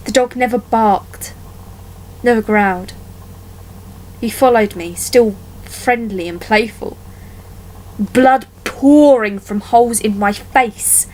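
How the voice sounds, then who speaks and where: A woman performing a dramatic monologue as a wounded young woman haunted by a childhood attack, telling it haltingly with deliberate pauses. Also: on the high side, a little mellow, slight background noise, low-quality recording. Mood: pained, subdued.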